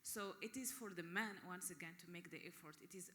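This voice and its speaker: A woman speaks with insistent force in a bright, sharp voice. She sounds like a panelist pressing her case at a conference, and the large hall adds a long reverb to her words. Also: lowish voice, brisk. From Morocco. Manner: emphatic, insistent. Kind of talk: impassioned panel speech at a conference.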